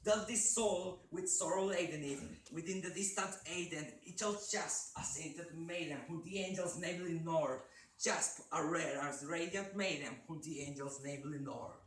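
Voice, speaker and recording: A man's voice: a little high, a little slow, a bit bright, pitched above the performer's own voice. A man acting out a desperate, grief-maddened man who declaims in a poem. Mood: anguished. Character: grief-maddened man pleading and raging at a raven. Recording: very echoey room, distant mic, faint noise.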